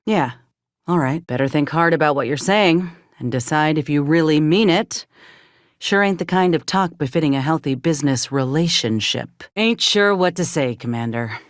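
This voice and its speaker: Earnest, young spacefarer. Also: female voice, sits low, expressive, moderate pace, no echo, clean studio sound. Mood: hurt, guarded. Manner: folksy, plainspoken, earnest. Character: earnest, principled young female spacefaring companion, folksy and wounded. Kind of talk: video game companion dialogue acting.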